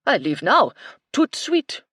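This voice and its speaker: A narrator voicing an excitable, slender male chef who talks in broken English with a put-on French accent. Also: medium pitch, measured pace, slightly rough, dry recording, clean studio sound, voice pushed up, heavily acted. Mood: indignant. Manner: loud, flapping, indignant broken English.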